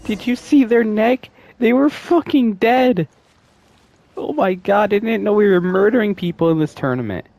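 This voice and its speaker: A woman's voice: medium pitch, animated, normal speed, mellow. A woman giving casual, sweary, irreverent commentary while streaming a video game. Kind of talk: casual live gameplay commentary.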